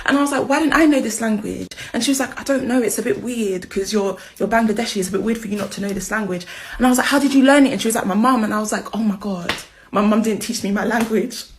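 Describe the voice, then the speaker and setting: A young British-accented woman tells a story in a medium-pitched voice. She is chatty and slangy, poking fun at herself, and she slips into little acted-out exchanges as she goes. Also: fast, slight background noise. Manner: chatty, slangy, self-mocking. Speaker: video creator. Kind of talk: casual anecdote telling with acted-out dialogue.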